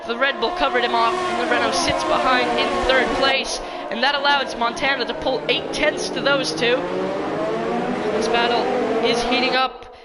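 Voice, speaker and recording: A woman commentating a sim race live, excitable and slightly hoarse from losing her voice. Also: moderate pace. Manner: excitable, rising pitch in close battles. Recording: loud crowd and engine noise behind. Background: race car engines and crowd ambience.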